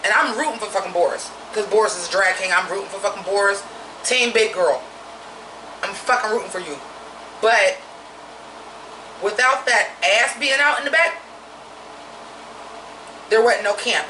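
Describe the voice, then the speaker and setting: A woman's voice: normal speed, pauses often, bright, forceful tone, roomy sound, recorded from a distance, slight background noise, poor audio. Blunt, profane woman reviewing drag. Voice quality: naturally deep voice. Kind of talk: casual review vlog.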